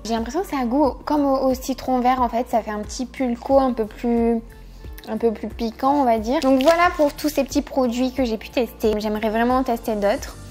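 A woman's voice: fairly high pitch, fairly quick, darkish tone. A young adult woman, chatting casually to the camera as a vlogger. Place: small furnished room at home, later inside a parked car. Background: background music.

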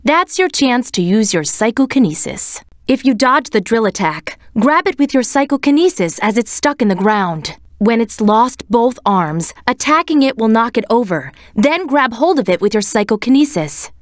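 A woman voicing a serious, composed young female cat in a video game, speaking in a measured, commanding way. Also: on the high side, very animated, no room sound, studio quality. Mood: composed, instructive. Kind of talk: scripted game voice acting, tactical hints.

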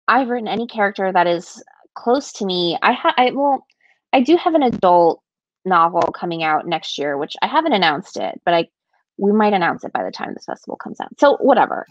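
A woman's voice: medium pitch, no room sound, muffled recording. A woman author from Ecuador on a video call panel, talking breezily with many restarts. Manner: breezy, restarts a lot, laughs. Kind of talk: casual panel talk. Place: home room on a video call. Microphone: video call webcam mic.

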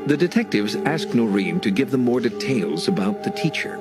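A man giving grave, measured scripted narration for a television documentary. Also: medium pitch, sing-song. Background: music.

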